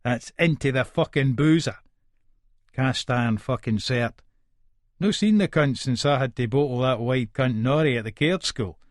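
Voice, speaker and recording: A man's voice: medium pitch, moderate pace, no echo, studio quality, natural voice. An actor playing a short-tempered, foul-mouthed Scottish hard man, narrating aggressively and colloquially in an Edinburgh accent for an audiobook. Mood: irritable, scornful. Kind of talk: first-person audiobook narration, dramatic.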